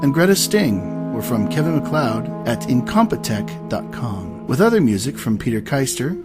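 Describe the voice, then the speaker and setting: A man's voice: medium pitch, sing-song, moderate pace. A man giving scripted narration as the announcer of an audio drama. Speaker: narrator and voice actor. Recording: music behind the voice.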